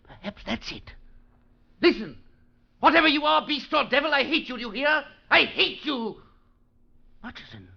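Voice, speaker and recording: A frantic haunted professor. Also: male voice, very high-pitched, animated, moderate pace, vocal fry, pauses often, heavily acted. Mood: hysterical. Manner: frantic, pleading, rising to shouted hatred. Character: tormented older male professor, haunted, terrified. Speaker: Professor Guildea. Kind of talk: 1940s radio acting. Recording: dull lo-fi 1940s radio broadcast.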